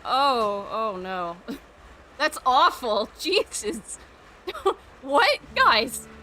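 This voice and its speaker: A woman's voice: on the high side, big pitch swings, drawn-out words, slight background noise, low-quality recording. A woman game streamer giving casual let's play commentary, chatting back at the characters as she goes. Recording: loud game music behind voice. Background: game music.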